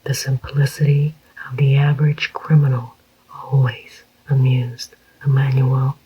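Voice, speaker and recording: A narrator reading a crime story aloud in a soft, unvoiced whisper, slow and even. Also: mid-range voice, husky. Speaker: audiobook narrator. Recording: dull lo-fi recording.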